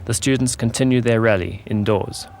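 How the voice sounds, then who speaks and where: A man's voice: mid-range voice, normal speed, a little mellow. A man reading scripted documentary narration for a newsreel. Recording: noisy old 1980s newsreel soundtrack.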